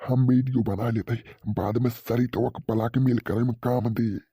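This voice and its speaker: A man's voice: mid-range voice, fairly even intonation, normal speed, mellow, breathy edge, dry recording, studio quality. A man with an eastern Hindi belt accent voicing an angry, scolding villager in a comic cartoon, blustering threats. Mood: scornful, scheming. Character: angry male villager threatening to beat a trespassing lover.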